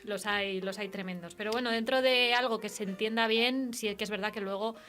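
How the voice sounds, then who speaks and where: A woman's voice: medium pitch, steady tone, brisk, fairly bright tone. Spanish woman hosting a casual interview. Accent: Spanish from Spain. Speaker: radio interviewer and proofreader.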